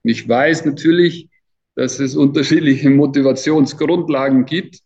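A man's voice: medium pitch, fairly even intonation, moderate pace, darkish tone. A man in his fifties speaking as a trainer being interviewed, in a casual, colloquial and emphatic way. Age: 50s. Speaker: athletic trainer and interview guest. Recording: dull, lo-fi audio.

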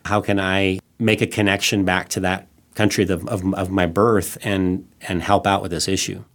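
A man's voice: low-pitched, flat delivery, normal speed. A middle-aged man raised in Thailand, a guest on a podcast who answers reflectively with frequent restarts. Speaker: guest, nonprofit CEO. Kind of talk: podcast interview.

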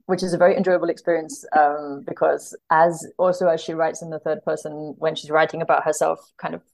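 A woman's voice: low voice, level tone, brisk, creaky, darkish tone, low-quality recording. A woman speaking as a guest translator in casual podcast chat, thoughtfully telling anecdotes and often circling back to rephrase.

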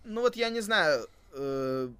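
A man's voice: fairly high pitch, lively intonation, takes it slowly, a bit bright, smooth, no room sound. A man co-hosting a podcast in casual chat, thinking aloud with hesitations and repeated phrases. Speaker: co-host of a wrestling podcast.

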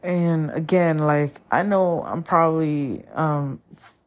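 A woman whose first language is Spanish, hosting a podcast and talking candidly about a breakup, often pausing to find her words. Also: low-pitched, fairly even intonation. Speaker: podcast host. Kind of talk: personal monologue.

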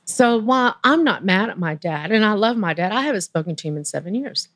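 A woman with a naturally low voice recounts a painful family memory as a guest in a conversation. She speaks earnestly and with feeling, pausing and restarting as she works through it. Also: animated. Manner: emotional, earnest, pauses and restarts. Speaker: interview guest. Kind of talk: personal storytelling in conversation.

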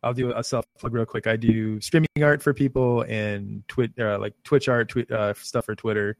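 A man's voice: mid-range voice, steady tone, normal speed, no room sound. A man appearing as a guest on a casual podcast, talking in a hesitant way with lots of fillers. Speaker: guest artist.

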